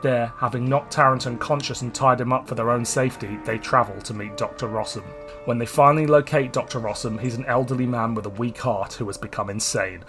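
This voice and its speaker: A man hosts a podcast in a mid-pitched voice. He narrates from a script and chats casually, with guitar music clearly playing behind him. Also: steady tone, fairly quick. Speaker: podcast host. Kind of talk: scripted plot synopsis and casual podcast chat.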